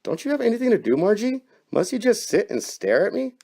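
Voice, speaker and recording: An excitable, whiny husband in a horror comic melodrama, acted by a man. His voice is pushed up from a low natural register and sounds hapless and nervous. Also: brisk, creaky, heavily acted. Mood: exasperated.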